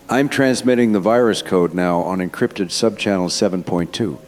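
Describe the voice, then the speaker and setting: A man playing a desperate, remorseful scientist in hiding, speaking gravely in an audio drama monologue. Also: fairly low pitch, darkish tone, close to an everyday voice.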